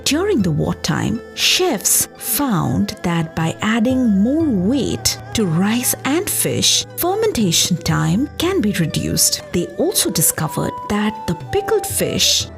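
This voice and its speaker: A woman narrating a scripted story as a podcast host, in a measured, expressive explanatory way. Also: mid-range voice, vocal fry. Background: background music.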